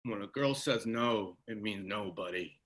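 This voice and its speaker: A man's voice: on the low side, a little slow, a bit bright. A man playing a big, brave sixteen-year-old best friend in a science fiction script read, cocky, teasing and supportive. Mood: dry teasing.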